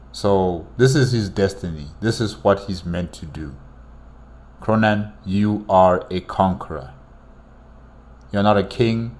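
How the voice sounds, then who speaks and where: A man giving casual, off-the-cuff commentary as a reaction host, halting and repeating himself for emphasis. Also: low-pitched, a little mellow.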